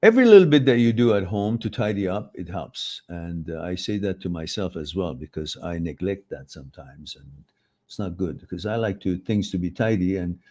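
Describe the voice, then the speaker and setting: Low-voiced man giving advice. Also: expressive, fairly quick, darkish tone. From Iran. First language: Farsi. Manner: rambling, self-correcting, laughs often. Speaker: host. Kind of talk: live-stream advice talk, reading viewer questions.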